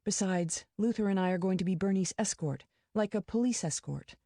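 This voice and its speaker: A woman voicing a protective mother who speaks in a soft, reassuring way. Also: mid-range voice, brisk, no room sound, clean studio sound, lightly acted. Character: loving mother, calm and protective.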